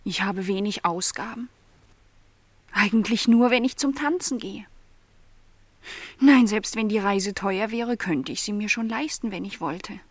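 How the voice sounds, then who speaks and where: An actress playing a lonely, discontented young housemaid in a literary radio play, plaintive and questioning in formal wording. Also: female voice, mid-range voice, a little slow, pauses often, dry recording, natural voice. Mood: reflective.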